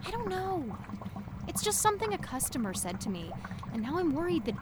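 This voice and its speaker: A woman playing an eager, awkward, soft-hearted pet-shop worker, earnest and hesitant, in an audio drama. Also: very high-pitched, very animated, brisk. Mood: uncertain. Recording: clear background noise.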